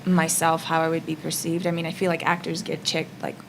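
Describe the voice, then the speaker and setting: Female actor in roundtable. Low, fry-laden voice, reflective and hesitant, clear background noise. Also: completely flat delivery, a little fast. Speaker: actress on a panel. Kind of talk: casual roundtable discussion. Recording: noisy live panel room.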